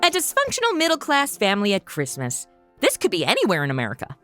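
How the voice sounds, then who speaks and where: A woman's voice: mid-range voice, wildly swooping pitch, fast, a bit bright, faint music behind. A woman playing a sassy, sceptical commentator in a comedy audio drama, sarcastic and argumentative.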